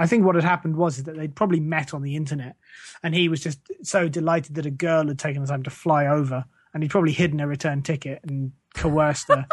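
A man's voice: a little high, no room sound, clean studio sound. An English man hosting a podcast in a casual comic rant, sweary, scornful and sarcastic. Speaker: podcast host.

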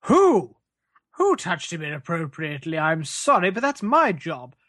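A man's voice: on the high side, big pitch swings, unhurried, a bit bright, no room sound. Male performer as a British clergyman, blithely shameless and faintly menacing, evasive and waffling, for sketch comedy; dry sound. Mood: blithe, rambling. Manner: hesitant, evasive, rambling, falsely polite. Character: shifty, menacing, blithely shameless parish reverend.

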